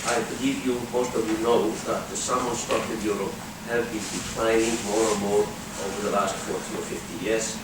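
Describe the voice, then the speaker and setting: A man with an Icelandic accent giving a conference talk, halting with frequent filler pauses. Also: on the low side, level tone, noisy background. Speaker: conference speaker. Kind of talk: workshop talk. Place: conference hall. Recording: echoey hall, distant mic.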